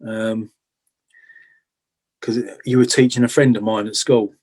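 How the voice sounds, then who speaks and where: A middle-aged man with an English accent chatting casually as an interview guest, reminiscing in an informal, halting, self-effacing way. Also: on the low side, level tone, a little mellow. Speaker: interview guest, blues rock guitarist.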